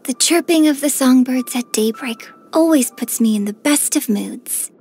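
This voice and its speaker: A woman voicing a graceful, gentle and cheerful young female opera singer in a game, with polite, elegant diction. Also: high-pitched, moderate pace, breathy edge. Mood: wistful. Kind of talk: scripted game character voice lines. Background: background music.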